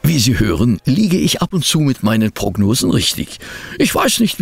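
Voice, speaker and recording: An actor playing a sceptical gentleman sidekick and storyteller in a German radio play, dry, grumbling and ironic. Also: medium pitch, vocal fry, breathy edge, no echo, full character voice.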